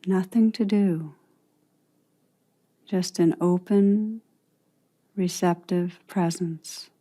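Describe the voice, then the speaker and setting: A woman with a slightly low, soft-edged and mellow voice leads a guided meditation. She speaks very slowly and soothingly, as a teacher would, leaving long pauses between phrases. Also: fairly even intonation.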